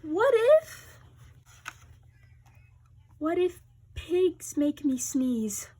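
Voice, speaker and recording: A woman voicing an anxious, melodramatic male elephant in a storybook read-aloud, speaking haltingly with dramatic pauses. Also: extremely high pitch, sing-song, dark tone, heavily acted. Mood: worried, despairing. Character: anxious, melodramatic male elephant fretting over his allergy.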